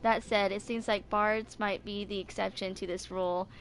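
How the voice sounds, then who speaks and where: Halting young woman reading aloud, noisy recording. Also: medium pitch, fairly even intonation. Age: 20s. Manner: halting, stumbles over words. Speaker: amateur gaming video creator. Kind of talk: reading game text aloud with casual asides.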